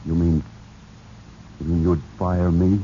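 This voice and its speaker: Beleaguered husband, very low, dark voice, swinging intonation, earnest, old muffled radio. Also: male voice, little pitch movement, takes it slowly. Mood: aggrieved, firm. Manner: earnest, intense, pleading. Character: devoted, aggrieved husband, pressured company treasurer. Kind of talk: 1940s radio drama acting. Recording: muffled, noisy 1940s radio recording.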